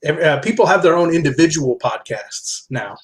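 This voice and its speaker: A man's voice: fairly high pitch, animated, normal speed. A man speaking as a podcast guest in casual, reflective conversation, telling things in a discursive, self-correcting way. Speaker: podcast guest, author and publisher.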